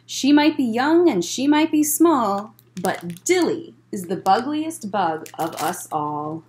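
Woman as a proud, high-voiced cicada announcer. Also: big pitch swings, slow pace, a little mellow. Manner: announcing, declamatory. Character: male cicada speechmaker, proud and celebratory.

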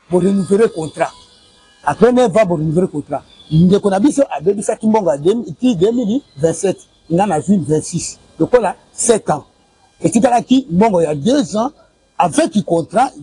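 Congolese guy in his 40s, high-ish husky voice, keeps repeating dates and figures, lapel mic outside. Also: warm tone, poor audio. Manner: insistent, repeats dates and figures, waves documents. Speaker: interviewee with a family dispute. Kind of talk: street interview, recounting a complaint. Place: outdoor courtyard by a building.

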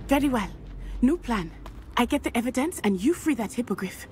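A woman's voice: a little high, lively intonation, slight background noise, close to an everyday voice. A woman voicing a composed, daring schoolgirl witch. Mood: determined. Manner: measured, formal, confident speech, often hushed. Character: composed teenage schoolgirl witch, brave and determined. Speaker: game character.

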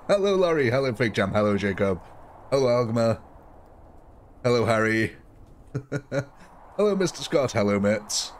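A man's voice: mid-range voice, very animated, measured pace, long pauses, slight background noise. Sniffly, cheerful streamer man. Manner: cheerful, chatty, laughing often. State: sniffly with a cold. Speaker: livestream gaming host. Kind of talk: casual live commentary.

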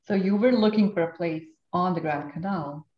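A woman's voice: lowish voice, moderate pace. A woman hosting a live, conversational interview and putting questions to her guest. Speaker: interviewer, host. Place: guest's home on a canal in Venice. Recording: echoey room, slightly dull sound.

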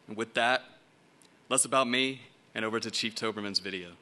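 Brief: man in his 30s, formal event host at a lectern, low voice with a sharp, forceful edge. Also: a little fast. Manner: formal, reading prepared remarks. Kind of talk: scripted introduction. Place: hall at a lectern. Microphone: lectern microphone.

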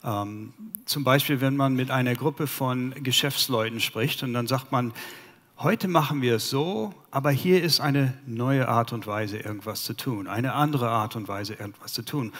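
A man in his fifties from the United States speaking German with an American accent and pausing to search for words, presenting to a conference audience on a headset mic in a large hall. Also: medium pitch, fairly bright tone. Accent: American English. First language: English. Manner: halting, searches for German words, many fillers. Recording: long hall reverb.

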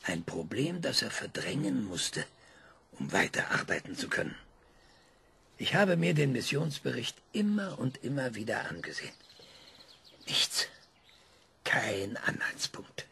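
Radio play: proud, gravelly scientist; lecturing, dramatic, drops to whispers. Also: male voice, mid-range voice, big pitch swings, measured pace, long pauses, slight background noise, exaggerated voice. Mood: brooding. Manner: lecturing, dramatic emphasis with sudden hushed whispers. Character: proud male scientist, sharp and scornful. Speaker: scientist being interviewed.

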